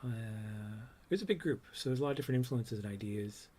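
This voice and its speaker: A man in his fifties with a low, soft voice, reminiscing casually on a livestream about his old art, with many ums and trailing thoughts. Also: fast talker, slightly rough. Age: 50s. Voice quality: low, soft voice that doesn't project. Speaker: comics artist showing his old work.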